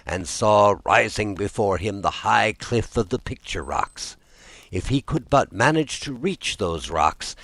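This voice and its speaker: A man reading a fairy tale aloud as a storyteller, with an expressive scripted delivery. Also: fairly low pitch, no echo. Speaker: storyteller, audiobook narrator.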